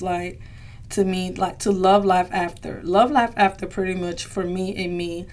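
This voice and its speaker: A young woman hosts her own podcast and talks straight to her listeners. Her talk is casual and heartfelt, full of fillers, and she calls them y'all. Her voice sounds a little strained, as if it has not fully come back. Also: mid-range voice. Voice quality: voice slightly strained from recent voice loss. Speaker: podcast host.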